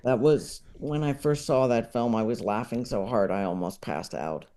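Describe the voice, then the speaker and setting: A man's voice: medium pitch, normal speed, warm tone. A man speaking as a podcast guest, chatting casually and analytically about what he loves, often hesitating as he thinks aloud. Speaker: podcast guest, comedy enthusiast. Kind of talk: casual opinionated film chat.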